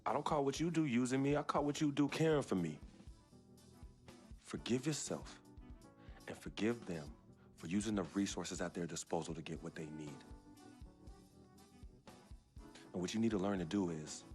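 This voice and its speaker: A man's voice: on the low side, close to an everyday voice. An actor playing a loyal young basketball star in a video game drama, speaking earnestly and firmly to his sister. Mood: tender, earnest. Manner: earnest, firm, pleading. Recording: music under the dialogue.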